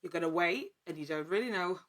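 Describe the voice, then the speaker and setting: A woman speaking as an interview guest, chatting casually with halting, emphatic repetition. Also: fairly low pitch, expressive, a bit bright. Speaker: actor and podcast guest.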